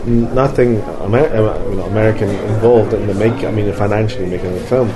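A man who grew up in London, a film director answering interview questions in a halting way, with many fillers and restarts. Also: fairly low pitch. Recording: crowd noise behind.

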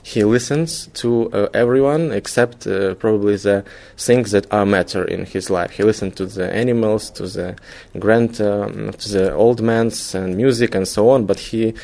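Low, creaky Ukrainian-accented man, halting. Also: steady tone, darkish tone. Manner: halting, searching for words in non-native English. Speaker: filmmaker being interviewed. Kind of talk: conversational interview.

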